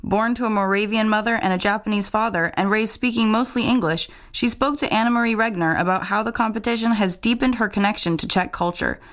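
A woman's voice: mid-range voice, quick pace, few pauses, a bit bright. Woman presenting radio news.

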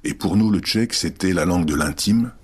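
A man in his fifties, born in Czechoslovakia with Czech as his first language, thoughtfully recalling his childhood in an interview with frequent pauses. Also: low-pitched, a little mellow, husky. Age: 50s. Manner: thoughtful, hesitant with many pauses. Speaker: interview guest. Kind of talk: reflective personal interview.

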